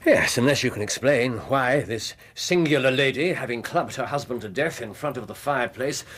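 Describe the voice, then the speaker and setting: A man acting a keen, commanding Victorian private detective in a radio drama, brisk and precise, murmuring as he muses. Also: mid-range voice, a bit bright, husky. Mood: musing, intent.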